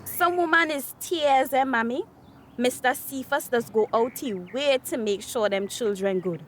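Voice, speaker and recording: An actress playing a chatty, cheeky teenage daughter in a radio drama, with a Tobagonian accent and animated dialect speech. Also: female voice, high voice, measured pace, slight background noise. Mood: gossipy. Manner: animated, dialect-rich gossiping.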